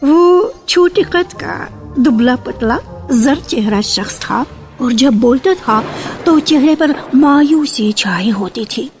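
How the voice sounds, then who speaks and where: High-voiced woman narrating slowly. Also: lively intonation. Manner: measured storytelling. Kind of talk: scripted radio drama narration. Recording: archival radio with faint music.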